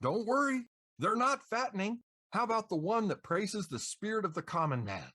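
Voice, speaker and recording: Lively, higher-voiced American male host. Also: moderate pace, no echo, clean studio sound. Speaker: podcast co-host. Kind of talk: scripted playful radio DJ banter and introductions.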